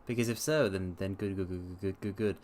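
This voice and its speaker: A man's voice: sits low, steady tone, a little fast, darkish tone. Theatrical man hosting a dramatic reading. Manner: theatrical, formal, old-fashioned phrasing. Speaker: livestream host and story reader. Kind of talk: livestream chat and dramatic reading.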